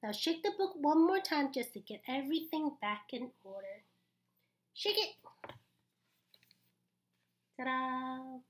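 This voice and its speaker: A young adult woman reading a picture book aloud to small children in an animated, exaggerated, encouraging way, with pauses for them to join in. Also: sits high, slight background noise. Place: small indoor room.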